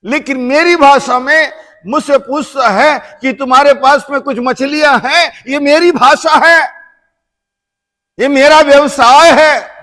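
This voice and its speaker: High-voiced man preaching in a reverberant church. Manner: slow, emphatic, rising to loud repeated phrases then dropping to soft. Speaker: bishop preaching. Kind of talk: sermon.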